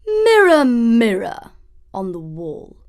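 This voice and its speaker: A woman's voice: fairly high pitch, wildly swooping pitch, slow pace, exaggerated voice. A woman voicing a vain, wicked fairy-tale queen with a furious temper, imperious and theatrical. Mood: vain, demanding.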